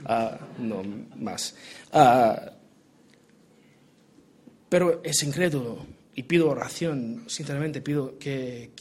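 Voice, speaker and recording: A man's voice: medium pitch, a little mellow, a little hiss or hum. Man preaching in Spanish with a foreign accent. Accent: Portuguese and American in Spanish. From Portugal. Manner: earnest, halting with restarts. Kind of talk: sermon, Bible reading and prayer.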